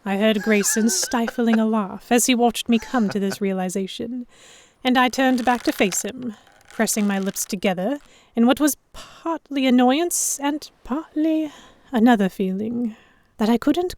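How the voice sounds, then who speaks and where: A woman playing a stubborn, flustered young noblewoman, narrating her own story in a measured, expressive audio drama style. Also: a little high, a little hiss or hum. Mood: tense, wistful narration.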